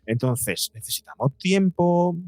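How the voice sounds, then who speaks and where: A man's voice: high-pitched, expressive, unhurried, darkish tone, no echo, faint music behind. A middle-aged man with a Spanish accent from Spain, giving emphatic, rambling explanations as an expert guest in an interview. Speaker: guest psychologist and podcaster.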